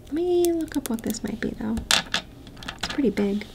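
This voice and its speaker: A woman doing a soft-spoken ASMR roleplay, mostly whispering and talking gently. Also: high voice, animated, normal speed, heavy background noise. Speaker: ASMR creator. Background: tapping and clicking sounds.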